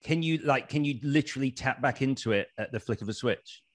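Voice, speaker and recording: A man's voice: mid-range voice, a little fast. A man in his thirties with an English accent, hosting a casual interview chat into a studio mic. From Leeds, northern England. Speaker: interview host. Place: room with bare brick wall. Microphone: studio mic on boom arm.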